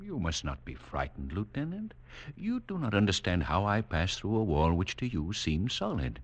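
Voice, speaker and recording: An actor playing a serenely superior mutant doctor, lecturing in a lofty, condescending 1940s radio manner. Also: male voice, deep voice, sing-song, slightly rough, exaggerated voice. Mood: calm, condescending. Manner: condescending, lofty, measured, didactic.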